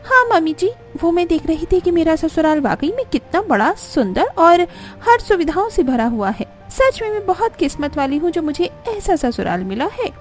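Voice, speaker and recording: A woman acts as a gushing young bride in a cartoon story. The voice is very high and swings up and down with wide-eyed excitement. Music plays underneath. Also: normal speed, few pauses. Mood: impressed, gushing. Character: excitable young modern new bride, easily impressed and shocked. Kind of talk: cartoon story dialogue acting.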